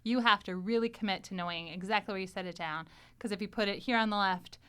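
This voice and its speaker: A woman hosts a casual podcast chat. Her voice is clean and resonant at an average pitch, and she talks playfully, prompting the others as she goes. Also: fast, bright, forceful tone, smooth. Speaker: podcast host and actor.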